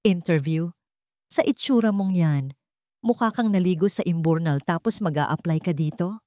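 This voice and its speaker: A woman voicing a large, gruff, contemptuous male security guard in a dramatic story. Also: high-pitched, normal speed, a little mellow, no echo, lightly acted. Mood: mocking.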